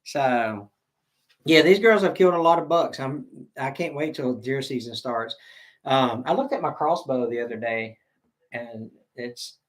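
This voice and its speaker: A man's voice: mid-range voice, animated, a little slow. A middle-aged man with a Southern American accent hosting a casual livestream, rambling, stop-start and joking as he reads viewer comments. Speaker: livestream host.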